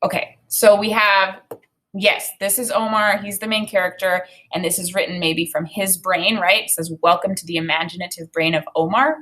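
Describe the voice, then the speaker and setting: A woman in her thirties with a bright, forward voice at an average pitch for a woman. She sounds like an enthusiastic teacher reading to children, expressive and conversational as she thinks aloud about the text. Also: normal speed. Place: small home room, indoors.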